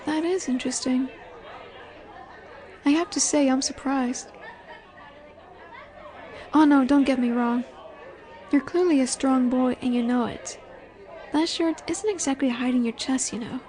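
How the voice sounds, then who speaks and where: Faint bar chatter sits behind a very high female voice. It is a woman performing a flirtatious werewolf alpha in a roleplay audio drama, sure of herself and keen to take control. She speaks slowly and teasingly, with a sultry, dominant confidence aimed straight at the listener. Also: warm tone. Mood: teasing, flirty. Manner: teasing, sultry, unhurried with drawn-out pauses.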